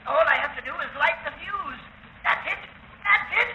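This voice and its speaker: An old, echoey radio recording carries a crazed villain. The voice is extremely high and sharp, swinging widely as it gloats and stammers through murderous threats. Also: male voice, expressive, moderate pace, heavily acted. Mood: manic gloating. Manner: frenzied, stammering repetitions, gloating. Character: deranged murderous male villain hiding underground, lonely and gloating. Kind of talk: 1930s radio drama acting. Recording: echoey, muffled, hissy 1930s radio recording.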